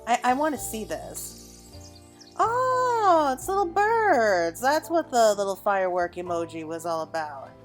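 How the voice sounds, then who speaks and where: A woman's voice: a little high, big pitch swings, measured pace, soft background music. A woman giving casual, chatty commentary as she plays a game, reacting aloud as she goes. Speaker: gamer recording a playthrough.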